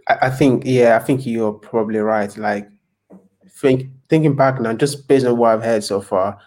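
A man's voice: medium pitch, fairly even intonation, moderate pace, darkish tone. Hesitant man from Nigeria. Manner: hesitant, thoughtful, many ums and restarts. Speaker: podcast guest. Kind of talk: casual interview chat.

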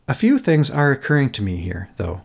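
A middle-aged man reads a reflective personal essay. His mid-pitched voice moves through a lively range of intonation. He is earnest and contemplative, setting out short, deliberate phrases like a thoughtful podcast host. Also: creaky, husky. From Minnesota, United States.

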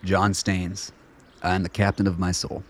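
A man's voice: low voice, monotone, moderate pace, close to an everyday voice. An actor in a radio play voicing a wary older father who speaks tersely and guardedly.

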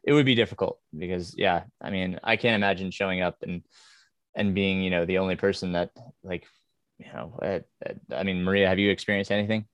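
A man's voice: low-pitched, fairly even intonation, fairly quick, a bit bright, smooth, no echo. A man from New York hosting a casual panel talk, hesitant and laughing often. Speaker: podcast host.

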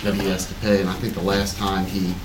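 A man's voice: low voice, flat delivery, fast talker. A man briefing a township board at a public meeting, matter-of-fact and explanatory. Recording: distant mic in an echoey, noisy room.

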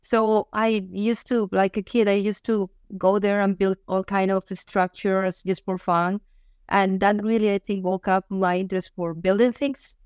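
A woman from Spain speaks English with a Spanish accent, as a native Spanish speaker does. Her voice is smooth and clear, recorded dry and close. She talks conversationally, as the co-host of a podcast. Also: mid-range voice, flat delivery, a little slow, a little mellow, no room sound. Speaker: co-host, engineering professor.

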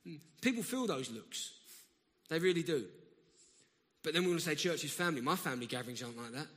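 A man with a South London accent delivers a sermon in a loose, conversational way, often restarting a thought before building to emphatic bursts. His voice sits fairly high for a man. It rings with the long echo of a church hall. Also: lively intonation, fast, a bit bright. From South London, England. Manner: conversational, self-interrupting, rising to emphatic bursts. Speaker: preacher.

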